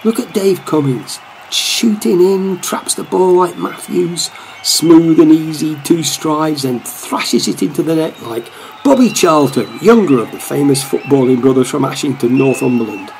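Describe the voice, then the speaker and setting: An actor playing a wistful middle-aged football supporter, musing to himself in a radio play. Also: male voice, fairly high pitch, a little slow, vocal fry, a little mellow, slight background noise, low-quality recording. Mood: admiring. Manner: reflective, talking to himself.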